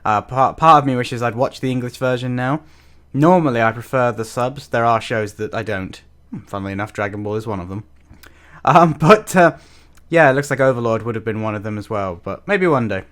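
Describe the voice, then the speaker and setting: A man gives casual reaction commentary in a bright, crisp-edged voice. His delivery is animated and chatty, rambling along with amusement. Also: mid-range voice, fairly quick. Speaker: reaction video host.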